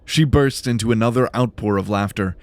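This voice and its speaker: A man's voice: lowish voice, brisk, darkish tone, no echo. A man narrating fantasy fiction in a scripted, dramatic storytelling style. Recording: music behind the voice. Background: music.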